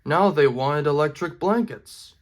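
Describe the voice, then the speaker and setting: A man reading a children's storybook aloud as an expressive narrator. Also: fairly high pitch, a little slow. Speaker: storybook reader.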